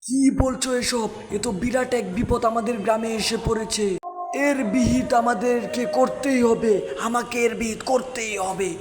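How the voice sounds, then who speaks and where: A man voicing excitable village men in a cartoon, dramatic and exclamatory in delivery. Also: very high voice, normal speed. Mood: alarmed. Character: alarmed village men and a fierce exorcist holy man. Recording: echoey, long reverb, loud music behind.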